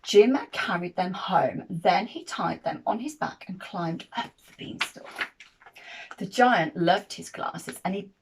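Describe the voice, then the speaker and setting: A woman reading a children's picture book aloud as an expressive storyteller. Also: lowish voice, slow, background noise.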